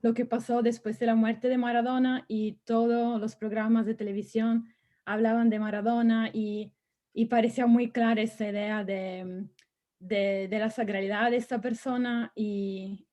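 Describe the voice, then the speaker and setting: Woman, native Italian speaker, mellow tone, fairly high, hesitant. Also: flat delivery, normal speed, muffled recording. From Italy. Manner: hesitant, repeats words. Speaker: seminar participant. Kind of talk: asking a question in a seminar.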